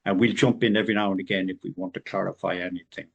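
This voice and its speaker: Gravelly low-voiced male podcast host. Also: steady tone, rapid-fire, highs cut off. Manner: rambling, informal, filler-heavy. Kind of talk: podcast interview. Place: Ireland.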